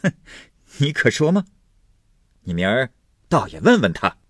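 A man's voice: sits low, big pitch swings, moderate pace, a bit bright, husky, no echo, clean studio sound, exaggerated voice. A man playing a hot-tempered, sneering husband who blusters, snorts and grumbles sarcastically in a storybook reading. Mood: bitter grumbling.

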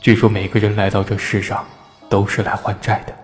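Man acting low-voiced heartbroken young noble husband, reverberant. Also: completely flat delivery, fairly quick, natural voice. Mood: grieving, devoted. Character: embittered, lovelorn young noble husband of a princess. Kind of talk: Chinese historical audio drama acting. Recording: long reverb, faint music behind.

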